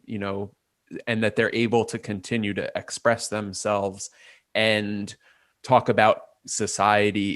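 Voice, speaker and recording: A man's voice: fairly low pitch, a bit bright, no echo, studio quality. A man, a guest in a casual interview, thinking aloud and weighing ideas from many angles. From Port Chester, New York. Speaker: guest, artist and designer.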